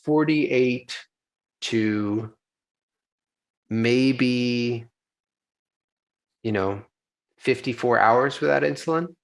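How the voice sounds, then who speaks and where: A man's voice: mid-range voice, extremely slow, gravelly, long pauses. Earnest, easy-laughing man. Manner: earnest, laughs easily, frequent "you know". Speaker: actor guest. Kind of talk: casual interview conversation.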